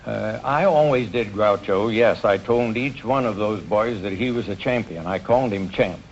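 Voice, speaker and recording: Earnest older coach, folksy. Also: male voice, on the low side. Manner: earnest, folksy, halting with uhs. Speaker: track coach, quiz contestant. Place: TV studio stage. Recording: dull 1950s TV audio with hiss.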